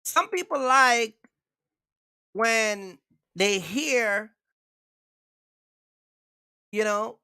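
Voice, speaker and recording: A man's voice: high-pitched, expressive, extremely slow, creaky, pauses often, bright, forceful tone, smooth, dry recording. A man hosting a trucking livestream, talking casually and emphatically, repeating himself and asking viewers for likes. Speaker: trucking channel host.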